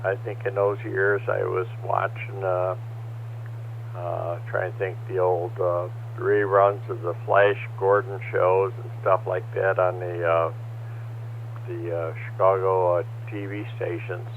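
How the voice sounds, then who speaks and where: An older man chatting over a ham radio net, hoarse from a cold, talking haltingly with many fillers. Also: deep voice, level tone. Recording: tinny two-way radio transmission with faint hum.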